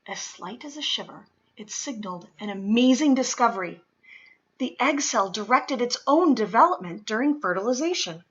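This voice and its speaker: A woman with a fairly high, clearly bright voice reads a children's true-story picture book aloud. She phrases deliberately and leans on key words for young listeners. The recording is slightly dull and lo-fi. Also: lively intonation. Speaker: read-aloud reader.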